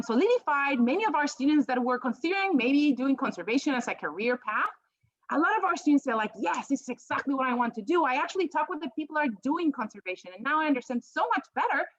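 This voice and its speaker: A woman with a strong Peruvian Spanish accent presents a talk over slides on a video call, her voice high and clear. She hesitates often with ums and restarts her phrases. The call audio sounds dry and slightly muffled. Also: no room sound. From Peru. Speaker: biology professor.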